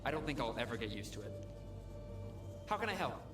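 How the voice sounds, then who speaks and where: A man's voice: mid-range voice, very animated, fairly quick, natural voice. A man playing an earnest, idealistic field medic in a video game, talking informally and with enthusiasm. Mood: wary, apologetic. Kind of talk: video game character dialogue. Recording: echoey room, music behind.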